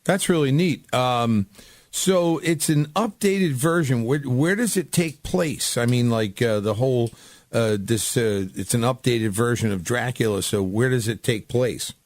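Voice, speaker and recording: A man's voice: medium pitch, measured pace, dry recording, clean studio sound. A man hosting a radio show, chatting casually in an informal way and often repeating his phrases. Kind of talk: casual radio interview chat.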